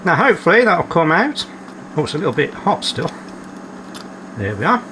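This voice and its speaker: A man's voice: on the high side, very animated, normal speed, noisy background. A man hosting a repair video, methodically talking through his workshop fault-finding and reading out measurements as he goes. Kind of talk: calm narrated workshop repair. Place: home workshop.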